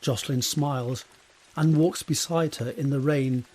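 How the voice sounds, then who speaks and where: A man's voice: medium pitch, steady tone, a little slow, darkish tone, husky. A man narrating an audio drama from a script, describing the scene in the present tense.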